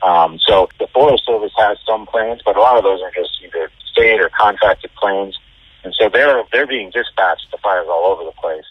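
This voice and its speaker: A firefighter explains things in a very low, husky voice, halting and plainspoken. The sound is dry and close. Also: male voice, no room sound, muffled recording. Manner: halting, fillers and restarts, plainspoken. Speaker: firefighter being interviewed. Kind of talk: unscripted interview answers.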